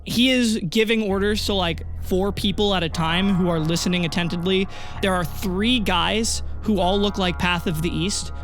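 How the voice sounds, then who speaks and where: Game master for a fantasy audio tabletop game, mid pitch, narrating scenes over a music bed. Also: normal speed, fairly bright tone. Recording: music under the voice. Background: background music.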